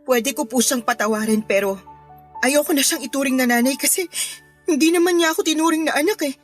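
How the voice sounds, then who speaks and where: A woman acts a young granddaughter in a radio drama, devoted yet wounded. Her voice is high and earnest, over faint music. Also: a little fast, lightly acted. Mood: hurt, bitter. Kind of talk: Tagalog radio drama acting.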